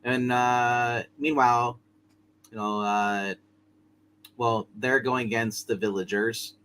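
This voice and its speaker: A man's voice: medium pitch, fairly even intonation, slow pace, fairly bright tone, a little hiss or hum. A man playing a tabletop roleplay game, chatting casually and stumbling through restarts. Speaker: roleplay player.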